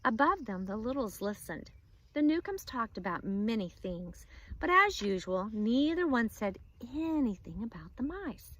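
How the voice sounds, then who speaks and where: Woman teacher, read-aloud lesson, expressive, lively pitch, asks questions. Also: a little slow, low-quality recording.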